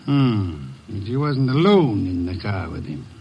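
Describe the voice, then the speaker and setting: An actor playing a commanding master detective who gives orders in a measured, dry way, in 1940s radio acting style. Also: male voice, on the low side, sing-song, slightly rough, highs cut off, full character voice. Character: imperious male master detective.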